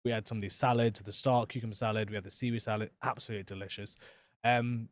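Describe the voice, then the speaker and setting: A man co-hosting a podcast, animated and quick to laugh, his voice dropping low at phrase ends. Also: dry recording. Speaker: podcast co-host. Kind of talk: casual chat.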